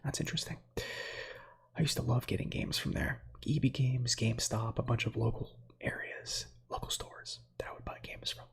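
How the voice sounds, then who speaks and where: A man in his thirties whose first language is Slovak, whispering softly as an ASMR streamer who reads space facts with chatty, meandering asides to viewers. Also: mid-range voice, steady tone, moderate pace. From United States. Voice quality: breathy whisper.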